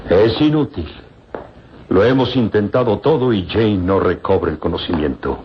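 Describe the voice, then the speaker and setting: A man's voice: on the low side, normal speed, close to an everyday voice. An actor playing an anxious, earnest professor and worried father, with a Mexican accent, in a vintage radio serial. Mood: desperate. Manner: earnest, dramatic. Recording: muffled, noisy old radio recording.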